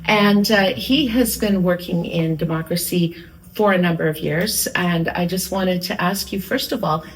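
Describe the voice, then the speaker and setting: A woman's voice: fairly low pitch, moderate pace, a little hiss or hum, low-quality recording. A woman hosting an interview, who asks her questions in a searching way and restarts them as she goes. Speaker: host.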